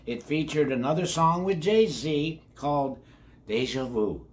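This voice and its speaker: A man's voice: a little high, lively intonation, a little slow. An older man reading a children's book aloud in a folksy way, with chatty asides to his young listeners. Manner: folksy, addresses children directly, repeats words. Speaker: children's read-along host.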